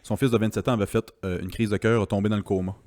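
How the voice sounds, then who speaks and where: A man's voice: on the low side, fairly even intonation, rapid-fire, a little mellow, no room sound. Joking Quebecois man, dry close sound. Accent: Quebec French. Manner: loose, joking, swears casually. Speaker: podcast host. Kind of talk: casual comedic chat. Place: podcast studio.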